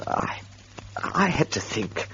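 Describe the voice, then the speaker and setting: A man's voice: slow, sharp tone, slight background noise. An actor playing an embittered, defensive man accused of murder, insistent and sardonic, in a radio drama. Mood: earnest, pleading. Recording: dull lo-fi radio recording.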